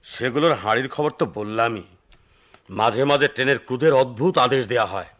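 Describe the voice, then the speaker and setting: A man's voice: medium pitch, a little fast, fairly bright tone, lightly acted. An actor playing an eccentric, talkative retired railway switchman in a radio drama, with a theatrical storyteller's manner. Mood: animated storytelling.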